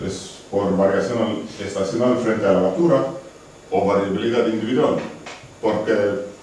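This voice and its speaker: A man's voice: fairly low pitch, normal speed, darkish tone. A man from Estonia speaking with an Estonian accent, giving a conference talk in a hesitant, friendly way with frequent restarts. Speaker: conservation researcher giving a talk. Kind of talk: conference presentation. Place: lecture hall. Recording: very echoey hall from far off, faint noise.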